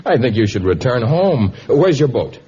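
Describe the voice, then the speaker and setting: An actor playing an earnest, gentle jungle hero with a courteous, halting manner in a vintage radio drama. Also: male voice, mid-range voice, sing-song, slightly rough. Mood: puzzled, concerned. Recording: dull, hissy vintage radio recording.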